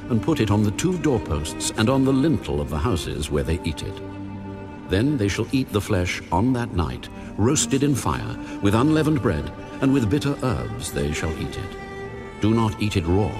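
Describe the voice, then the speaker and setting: God, low, majestic and stern. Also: male voice. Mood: solemn, instructing. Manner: solemn, authoritative, deliberate pronouncements. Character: God, male, majestic, stern divine lawgiver. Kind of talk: dramatized audio Bible acting. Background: music.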